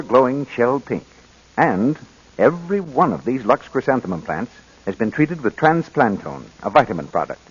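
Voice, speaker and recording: An American man reading scripted announcements in the style of a 1940s radio advertising announcer, with a husky voice. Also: mid-range voice. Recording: dull old radio recording.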